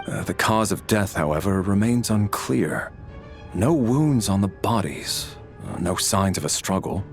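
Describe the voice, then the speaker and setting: An actor playing an officer who examines the dead and gives orders in a clipped, methodical, authoritative way in a game. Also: male voice, deep voice. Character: brisk officer investigating two deaths. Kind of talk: game voice acting.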